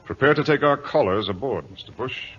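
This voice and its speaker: An actor in an old-time radio drama playing a resolute British naval captain with a clipped, formal, commanding manner. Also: male voice, mid-range voice, lively intonation, brisk, over loud music, natural voice. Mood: crisp command. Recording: dull vintage radio broadcast.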